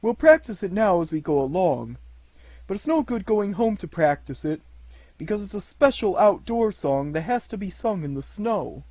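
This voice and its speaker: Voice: medium pitch, sing-song, moderate pace, darkish tone, no room sound, pitched above the performer's own voice. A storyteller voicing a cheerful, muddled young bear of little brain, happy and earnest in a slightly dreamy way. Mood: explaining. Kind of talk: storybook reading.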